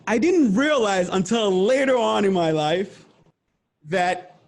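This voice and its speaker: A man's voice: high voice, a little mellow. A man from the Democratic Republic of Congo giving a conversational seminar presentation over a video call, earnest and explanatory. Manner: earnest, explanatory, repeats himself.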